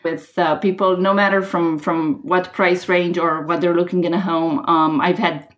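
A woman with a Romanian accent, a realtor guest talking casually on a podcast, often pausing with ums and restarting. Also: lowish voice, level tone. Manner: hesitant, many ums and restarts.

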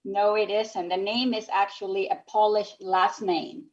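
A woman's voice: medium pitch, level tone, a little slow, dull audio. A woman from Nicaragua, speaking English as a second language, telling her story as a guest on a radio talk show in an earnest, reflective way. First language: Spanish. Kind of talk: radio interview.

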